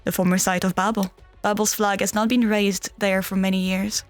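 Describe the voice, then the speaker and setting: A woman's voice: medium pitch, little pitch movement, normal speed, natural voice. A woman acting a composed, authoritative field commander in a game fan dub, formal and businesslike. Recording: background music under the voice.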